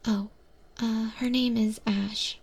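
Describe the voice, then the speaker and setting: An eldritch monster woman , played by a female performer guides a new kitchen worker in an ASMR roleplay. Her whisper is breathy and crackles with fry. She speaks slowly and evenly, patient with a faint exasperation. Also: fairly high pitch, fairly even intonation, exaggerated voice. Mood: hushed, musing. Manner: slow, hushed, gently instructive.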